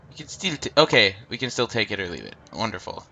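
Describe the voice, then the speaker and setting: A man's voice: fairly low pitch, sing-song, quick pace, sharp tone, muffled recording. Stuffed-up man rambling over a game. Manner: rambling, hesitant, many ums. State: stuffed-up nose. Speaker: let's play host. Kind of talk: casual game commentary. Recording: game music behind.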